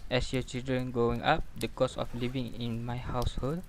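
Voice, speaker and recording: A man's voice: mid-range voice, level tone, moderate pace, slight background noise, lightly acted. A man playing a dutiful married father in an amateur radio drama, reciting formally in non-native English phrasing. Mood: earnest, dutiful. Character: weary, dutiful married father of four in confession.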